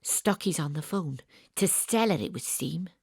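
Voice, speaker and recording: A woman's voice: low-pitched, lively intonation, unhurried, vocal fry, slightly rough, no echo, full character voice. An actress playing a wry, self-regarding detective, telling her own story in an audio drama. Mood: tense, wry. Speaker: actor narrating an audio drama. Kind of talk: first-person dramatic narration.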